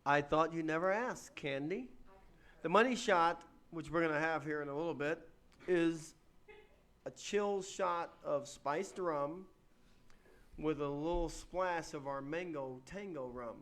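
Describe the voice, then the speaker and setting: A chatty, upbeat man, a guest on a livestream, greeting viewers and talking about rum. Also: a little high. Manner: chatty, upbeat, quick shout-outs. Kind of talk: casual chat, greeting viewers.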